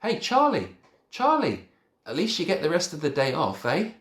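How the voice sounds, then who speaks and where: Guy doing a whiny-pleading schoolboy for a kids story, loud and high, stammery protests, roomy sound. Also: wildly swooping pitch, normal speed, full character voice. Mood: shouting, insistent. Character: indignant, pleading schoolboy who protests loudly. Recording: echoey room.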